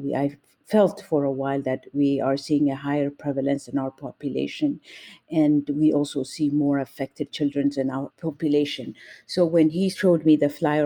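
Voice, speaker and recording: A woman from Ethiopia, a nurse and family advocate, speaking in a measured, deliberate way as an interview guest. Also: low voice, warm tone.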